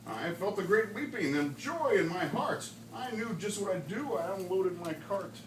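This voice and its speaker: A man voicing an old, reclusive storybook creature who tells a wistful tale with drawn-out emphasis. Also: high voice, animated. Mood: excited. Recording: echoey noisy classroom, distant mic.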